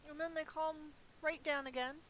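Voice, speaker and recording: Female gaming host, slightly high pitch, playful off-the-cuff commentary, light background hiss. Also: fairly even intonation, moderate pace, fairly bright tone. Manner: chatty, playful, thinking aloud. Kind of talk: casual gameplay commentary. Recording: hissy recording with background noise.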